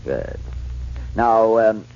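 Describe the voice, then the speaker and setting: An actor playing a methodical, self-assured criminal mastermind with formal, deliberate speech in a 1940s radio crime drama. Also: male voice, fairly low pitch, expressive, darkish tone, exaggerated voice. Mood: smug, calculating. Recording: muffled, hissy 1940s radio recording.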